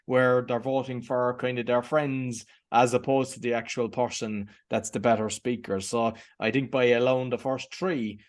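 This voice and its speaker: A man hosting a podcast, interviewing his guest in a relaxed way with a few fillers. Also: mid-range voice. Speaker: podcast host. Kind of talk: conversational interview.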